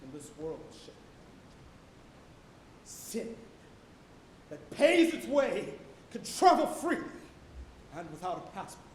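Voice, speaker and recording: Man declaiming wildly in an echoey hall. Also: big pitch swings. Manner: declamatory, slow, swinging from hushed to shouting. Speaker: stage actor preaching a sermon. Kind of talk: theatrical dramatic sermon monologue. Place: college auditorium stage. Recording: echoey auditorium with loud rumbling noise.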